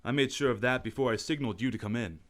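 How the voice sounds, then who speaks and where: Lamont Cranston is a composed gentleman sleuth, as a man voices him in an old-time radio drama. He speaks in a measured, commanding way, pressing on with quiet resolve. His voice sits at an ordinary middle pitch. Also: lightly acted. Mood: determined. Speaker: radio actor.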